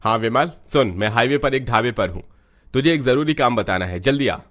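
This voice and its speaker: Man doing a firm kind army major, calm and commanding, cartoon. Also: medium pitch, fairly quick, lightly acted. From Punjab. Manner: firm, warm-hearted, commanding. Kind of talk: cartoon voice acting.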